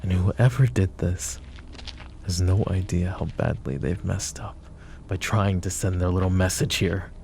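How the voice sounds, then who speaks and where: A possessive, flirtatious mafia boss, acted by a man in an intimate ASMR roleplay. His voice is low and very mellow, with a heavy gravelly fry. He is smooth-talking and protective, with a controlled menace under the teasing. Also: fairly even intonation, unhurried, slight background noise. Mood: angry, threatening.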